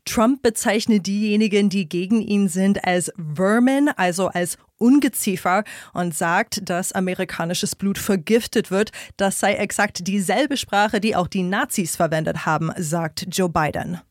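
A woman from New York State hosting a political podcast in a studio, moderating clearly and evenly. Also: mid-range voice, a little slow, hardly any pauses, dry recording. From USA, New York State. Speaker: podcast host.

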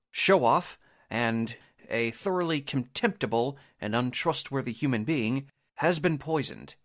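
A man's voice: medium pitch, a bit bright. A man announcing a scripted old-time radio drama in a dramatic, emphatic manner.

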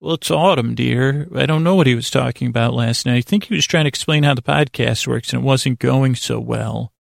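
A man hosting a sleep podcast in a rambling, informal bedtime monologue, halting and self-deprecating, with a gravelly voice. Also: mid-range voice, no echo, studio quality. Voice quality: gravelly, creaky.

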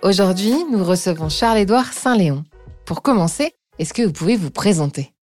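A woman hosting a podcast, reading a scripted introduction and asking calm, even interview questions. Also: mid-range voice, normal speed, no room sound, soft background music. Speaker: podcast host.

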